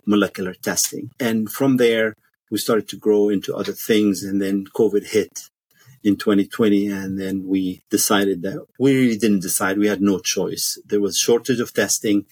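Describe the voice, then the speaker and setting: A middle-aged man from Egypt, an interview guest telling his career story in short, measured statements. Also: fairly low pitch, fairly even intonation, a little mellow, husky, no echo. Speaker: podcast guest, pathologist.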